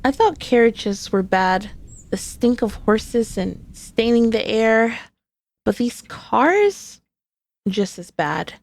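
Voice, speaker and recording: A woman's voice: fairly high pitch, expressive, slow pace, darkish tone. A woman playing a sassy, sneaky, possessive centuries-old female demon in an ASMR roleplay, speaking in a haughty, teasing, formally old-fashioned way. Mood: grumbling, indignant. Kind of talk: ASMR roleplay, scripted character acting.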